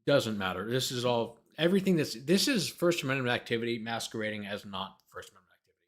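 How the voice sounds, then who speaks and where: A man's voice: medium pitch, big pitch swings, fast talker, a bit bright. A man who hosts a livestream as a lawyer, reading a document aloud and breaking off into sarcastic, exasperated, argumentative commentary.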